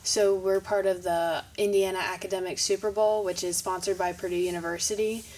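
A woman's voice: medium pitch, level tone, brisk, darkish tone, distant mic, rough recording. A teenage girl from Kentucky answering interview questions in an upbeat, organized way. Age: teens. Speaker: student guest.

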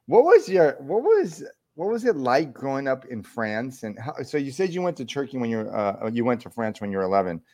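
Male host, animated, enthusiastic interviewer with an ordinary mid-range voice. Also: medium pitch, fairly quick, hardly any pauses. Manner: enthusiastic, apologetic, eager questions. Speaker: podcast host. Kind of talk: interview hosting.

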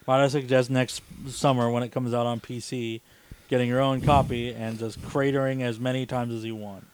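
A man with a medium-pitched voice hosts a casual gaming podcast. He talks like he is among friends, teasing and swearing freely as he goes. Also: little pitch movement, brisk, slight background noise. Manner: profane, teasing banter. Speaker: podcast host. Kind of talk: casual chat while gaming.

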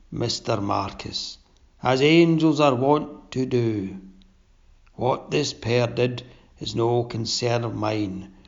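A man voicing a pompous, sinister undead sea captain, speaking in a low, slow, drawn-out rasp. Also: darkish tone. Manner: pompous, sly oration. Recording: dull lo-fi recording.

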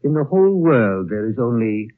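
An actor playing a courteous, discreet elderly lama with formal, measured speech, in 1930s radio drama style. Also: male voice, medium pitch, big pitch swings, natural voice. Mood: courtly, measured. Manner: formal, measured, politely evasive. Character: courteous, discreet lama aged over a hundred, looking younger. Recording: thin, narrow old 1930s radio recording.

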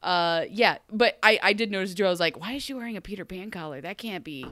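Bright, crisp-voiced woman in lively chat. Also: medium pitch, quick pace, no room sound, clean studio sound. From Ohio. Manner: animated, acts out quoted voices, laughs often. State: voice still a bit deep after a cold. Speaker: podcast co-host. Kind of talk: casual chat.

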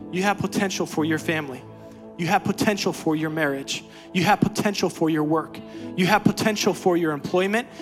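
A man preaching a sermon as pastor, swinging from quiet, low talk to loud, high-pitched exhortation. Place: church sanctuary.

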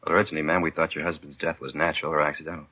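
Very deep, husky police detective. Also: male voice, little pitch movement, very fast. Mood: polite, steady. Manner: plain-spoken, matter-of-fact. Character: plain-spoken male police detective partner, steady and polite. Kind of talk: 1950s radio acting. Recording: dull 1950s radio recording.

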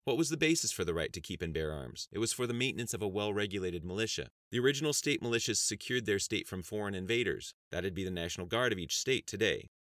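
A man's voice: fairly low pitch, lively intonation, fast talker, few pauses, fairly bright tone, dry recording, clean studio sound, pitched above the performer's own voice. A man voicing a prim, indignant woman official with an insistent, rehearsed manner in a narrated novel. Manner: rehearsed, insistent, sometimes squealing. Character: prim, indignant female gun-control official.

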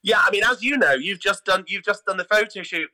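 Bright, higher-pitched British man, enthusiastic. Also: a little fast. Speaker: guest, artistic director of a pantomime theatre company. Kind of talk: conversational interview answers.

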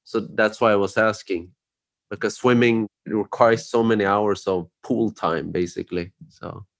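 A man's voice: fairly low pitch, steady tone, a little slow, vocal fry. A man from Sweden hosting an interview, asking curious, rambling questions peppered with "like" and "you know". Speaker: podcast host. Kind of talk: casual interview chat.

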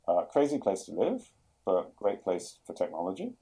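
Low, dark-voiced London man. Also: wildly swooping pitch, moderate pace, slightly rough. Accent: London English. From England. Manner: hesitant, many fillers and restarts. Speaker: guest, product executive. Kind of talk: conversational podcast interview.

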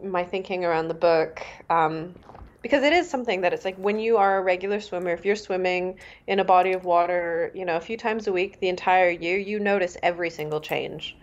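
A Canadian-born woman author, first language Mandarin, speaking in a thoughtful, measured way with fillers and restarts. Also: on the low side, muffled recording. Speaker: author and guest. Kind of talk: reflective interview talk.

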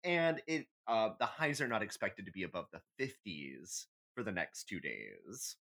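A man's voice: lowish voice, very animated, normal speed, creaky, fairly bright tone. A man co-hosting a podcast in casual banter, dryly humorous and playfully dramatic in how he tells things. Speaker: podcast co-host.

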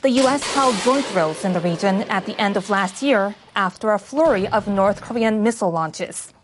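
A woman's voice: medium pitch, lively intonation, normal speed, slight background noise. A woman reading scripted international news for radio as a newsreader. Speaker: news reader.

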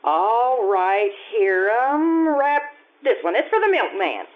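An actress playing a harried, wistful housewife and mother in an old-time radio drama, with theatrical pauses. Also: on the high side, sing-song. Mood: busy, motherly. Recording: tinny band-limited radio play recording.

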